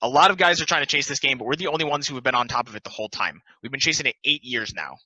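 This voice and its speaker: A man playing an excitable, earnest young videographer who chases games, in an amateur play reading. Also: medium pitch, rapid-fire, no room sound. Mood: excited, earnest. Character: excitable young male storm-chasing game videographer. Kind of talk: amateur play table read. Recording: dry, dull lo-fi audio.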